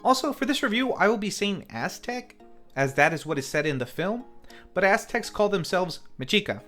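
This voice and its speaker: A man's voice: high-pitched, big pitch swings, moderate pace, a bit bright. A man hosting a review video, reading scripted narration in a conversational way and addressing viewers directly. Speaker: host of a film review channel. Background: music under the voice.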